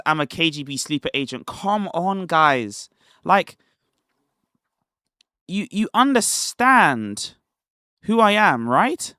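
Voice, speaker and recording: A man originally from Nigeria hosts a casual livestream commentary, pushing his points with rhetorical questions and short laughs. His voice is bright and forward, and it sits close and dry on the mic with no room sound. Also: fairly high pitch, dry recording. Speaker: livestream host.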